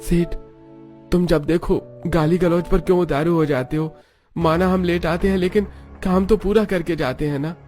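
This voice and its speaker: Man playing a higher-voiced poor worker. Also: fairly even intonation, moderate pace, darkish tone, soft background music, natural voice. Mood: indignant. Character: poor male factory worker, apologetic yet proud. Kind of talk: cartoon story acting.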